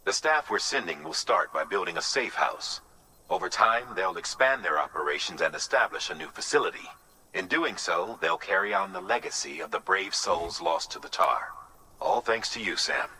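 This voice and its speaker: An actor playing an earnest, resolute mission commander in a video game, speaking in a measured, encouraging way. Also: male voice, deep voice, expressive, bright, forceful tone, slight background noise, exaggerated voice, rough recording. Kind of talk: video game dramatic dialogue.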